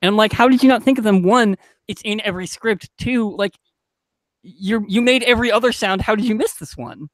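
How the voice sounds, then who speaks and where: A man's voice: high voice, fairly quick, no echo, poor audio. A man talking casually as a podcast panelist and sound designer, telling anecdotes in an informal, emphatic way.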